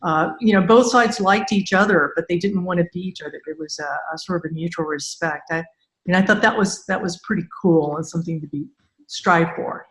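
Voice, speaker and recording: A woman's voice: lowish voice, a little fast. A woman hosting a casual interview, talking in a chatty, rambling, conversational way. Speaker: podcast host, interviewer. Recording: echoey room sound.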